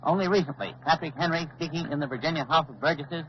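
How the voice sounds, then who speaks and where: An actor playing a grave, authoritative male news analyst in formal, deliberate 1940s radio commentary. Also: a little high, close to an everyday voice. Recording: dull old 1940s radio recording, faint noise.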